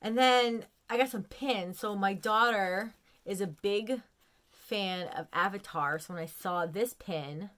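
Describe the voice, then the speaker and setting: A woman in her forties with an American accent, chatting casually and excitedly to camera in a room at home. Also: mid-range voice, slow. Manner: excitable, gushing. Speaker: vlogger showing a haul of collectibles. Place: small room at home, indoors.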